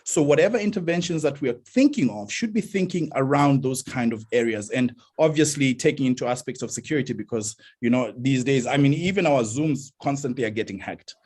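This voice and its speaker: A South African man answers questions in an academic video call. His voice is slightly husky, and he explains hesitantly, often repeating words as he works out his point. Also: mid-range voice, lively intonation, a little fast, hardly any pauses, a little mellow.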